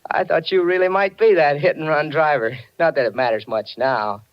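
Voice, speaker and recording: A man plays a folksy, gossipy garage attendant in an old radio mystery. His voice is a little high and he rambles with chummy hesitations. The 1940s recording is slightly muffled. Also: normal speed, close to an everyday voice. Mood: sheepish.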